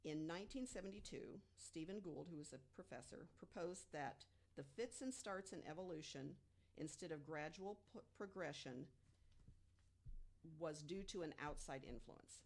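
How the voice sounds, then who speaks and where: A woman informally telling researched material as a podcast panelist, emphatic and deliberate, posing rhetorical questions. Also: low-pitched, expressive, a little mellow.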